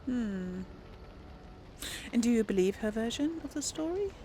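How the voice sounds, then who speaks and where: Unhurried, higher-voiced woman gamer. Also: expressive, mellow, slight background noise. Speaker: gaming video host and role-player. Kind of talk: casual let's play commentary with role-played dialogue.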